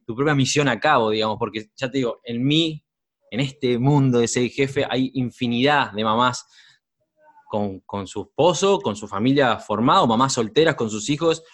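A man hosts an interview. He asks long, winding questions, often breaking off and starting a phrase again. His voice has an ordinary male pitch. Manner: rambling, restarts questions, voseo. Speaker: host.

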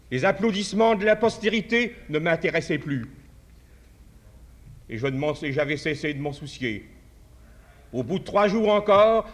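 A man delivers a formal address at a writers' congress, with a somewhat high voice. He speaks in a measured way, retells a parable, and restarts phrases as he searches for words. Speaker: conference speaker. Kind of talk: formal speech at a podium.

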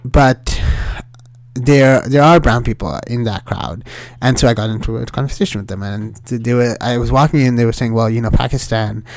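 Young man from Sri Lanka, animated rambling storyteller, mid-pitched, dry close sound. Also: moderate pace, no echo. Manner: animated, rambling with many fillers and restarts. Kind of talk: casual storytelling.

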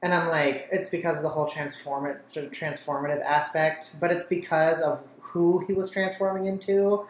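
A woman with a notably low voice co-hosting a casual movie podcast, chatty and quick to laugh. Her voice has a roomy echo. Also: steady tone, slight background noise. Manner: chatty, laughs often, frequent "like". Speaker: podcast co-host. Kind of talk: casual film chat.

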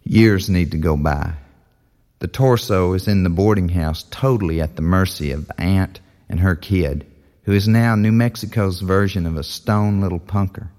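A man narrating an audio story like a film pitch, in offhand, clipped fragments. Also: normal speed, darkish tone.

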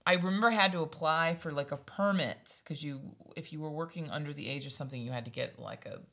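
Low, bright woman telling a teasing story. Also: fast, vocal fry. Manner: teasing, laughs easily. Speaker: podcast host. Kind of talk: casual podcast chat.

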